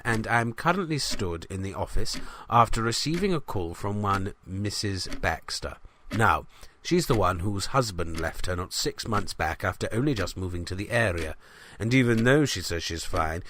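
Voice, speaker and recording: A man playing a pompous, bumbling small-town newspaper man who rambles in a deadpan way through a comedic audio diary. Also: fairly low pitch, slight background noise. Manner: rambling, self-important, deadpan. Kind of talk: comedic audio diary monologue in 1970s setting.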